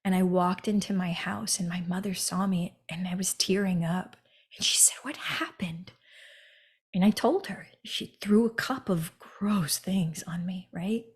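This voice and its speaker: A woman hosting a podcast, telling her own story in an earnest monologue and often turning to the listener with a quick "right?". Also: lowish voice, slightly rough. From United States. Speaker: podcast host.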